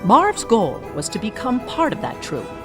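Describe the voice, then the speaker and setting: An animated woman narrator tells a story in polished, scripted documentary style. Her knowing delivery rises and falls freely over a clear music bed. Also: mid-range voice, moderate pace. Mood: knowing, storytelling.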